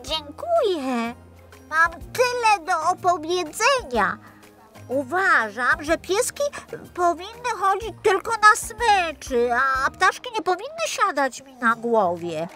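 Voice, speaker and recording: A woman's voice: very high-pitched, sing-song, takes it slowly, bright, forceful tone, faint music behind, pitched above the performer's own voice, exaggerated voice. A woman voicing a vain, greedy talking teddy bear who rambles on in a childlike, self-satisfied way for a children's story. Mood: chatty, boastful.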